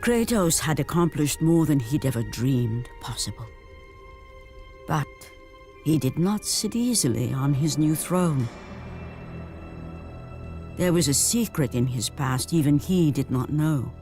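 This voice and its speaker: A grave teller of ancient myths, narrating a game cutscene very slowly and solemnly. The delivery is portentous, with weighty pauses, over clearly audible music. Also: male voice, fairly high pitch, expressive, a little mellow. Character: grave storyteller of ancient myth. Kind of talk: dramatic scripted narration for a video game. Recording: music behind the voice.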